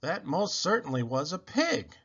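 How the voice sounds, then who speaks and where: Storybook police officer, male reader: earnest, formal, deliberate, bright and highly animated. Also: breathy edge, dull audio, heavily acted. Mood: puzzled.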